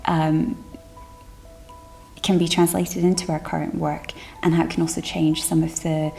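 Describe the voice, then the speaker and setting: A woman's voice: deep voice, level tone, normal speed, gravelly, dark tone, soft background music, low-quality recording. A woman brought up in Scotland who works on civil liberties policy, speaking thoughtfully in a seated interview. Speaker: policy committee staffer. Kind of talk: interview testimony. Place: indoor room with curtain backdrop.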